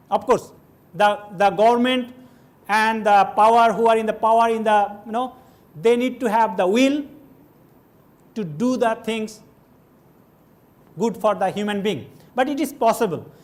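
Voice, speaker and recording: High-voiced Bengali-accented man lecturing. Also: normal speed, long pauses. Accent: Indian English, Bengali. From India (West Bengal). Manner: conversational, digressive, asks the audience questions. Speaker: guest lecturer. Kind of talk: classroom lecture. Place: large lecture hall with stage.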